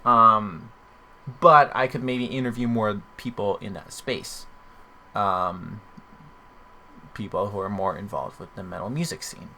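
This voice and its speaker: A man's voice: fairly low pitch, measured pace, bright, forceful tone, slight background noise. A man hosting a podcast in casual chat, rambling and questioning himself as he talks. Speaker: podcast host.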